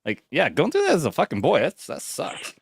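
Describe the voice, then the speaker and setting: A man's voice: on the high side, wildly swooping pitch, fairly quick, gravelly, slightly rough, no echo. Profane laughing man on a dry mic. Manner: profane, rambling, laughs often. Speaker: co-host. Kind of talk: casual crude banter.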